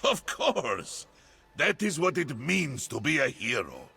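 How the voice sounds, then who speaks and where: An actor playing a jovial, earnest fantasy game hero with a gravelly, hearty delivery. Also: male voice, on the high side, big pitch swings, slow pace, crowd noise, full character voice.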